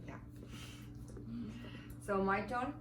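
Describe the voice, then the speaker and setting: A woman's voice: mid-range voice, very slow. A woman from Ukraine with a Ukrainian accent, telling her story in simple, hesitant English. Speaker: wife sharing her story. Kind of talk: casual testimonial. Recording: echoey room with clear background noise.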